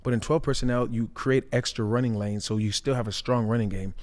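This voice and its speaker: A man's voice: medium pitch, fairly even intonation, brisk, a little mellow. A man co-hosting a sports podcast, giving casual, emphatic, colloquial football analysis in a reverberant room. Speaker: sports podcast co-host, former player.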